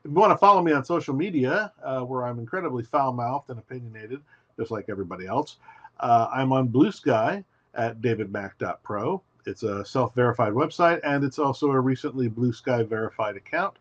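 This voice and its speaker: A man being interviewed as a guest writer, telling his story in an emphatic, animated way. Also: mid-range voice, a little fast, lo-fi sound. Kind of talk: casual interview.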